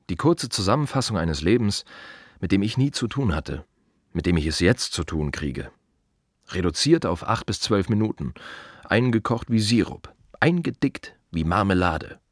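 Deep-voiced, wry gravedigger. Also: male voice, expressive, normal speed, dry recording, studio quality. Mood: reflective. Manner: wry, clipped fragments with pointed repetitions. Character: wry, brooding male gravedigger musing on the dead. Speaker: actor in a radio play monologue. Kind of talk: radio drama inner monologue.

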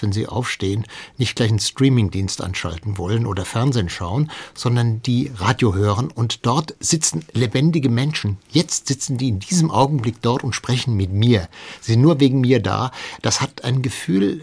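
A man with a mid-pitched, husky voice talks as a studio guest. He gives his opinion in spoken, unscripted radio discussion.

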